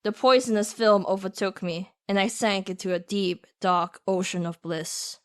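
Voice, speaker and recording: A woman's voice: mid-range voice, steady tone, moderate pace, clear, resonant tone. A young woman reading a story aloud as an amateur voice actor, often laughing and restarting her lines. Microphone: home microphone that cuts out.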